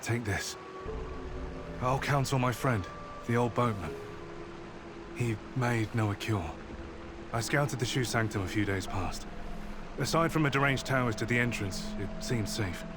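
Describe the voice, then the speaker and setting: An actor as an earnest male companion, mid-pitched. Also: a little slow, pauses often, music in the background, lightly acted. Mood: earnest, cautioning. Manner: earnest, explanatory. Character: earnest, concerned man, loyal scout and companion. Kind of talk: scripted game cutscene dialogue. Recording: clear ambient noise behind the voice.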